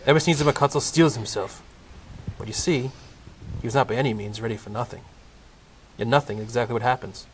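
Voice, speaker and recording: Male narrator, medium pitch, scripted storybook narration, comedy audio play, noisy amateur recording. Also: fairly quick.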